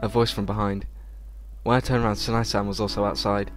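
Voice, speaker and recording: A man's voice: on the low side, little pitch movement, fast talker. A man hosting a let's play, reading visual novel text aloud informally, stumbling over words and adding casual reactions. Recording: game music behind the voice.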